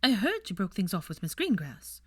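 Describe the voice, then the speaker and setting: A woman's voice: medium pitch, wildly swooping pitch, moderate pace, a bit bright, husky, dry recording, heavily acted. A woman voicing a haughty, disapproving aristocratic mother, curt and formal, in an audiobook story. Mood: cool disapproval. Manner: curt, formal, clipped disdain. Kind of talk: audiobook character voice.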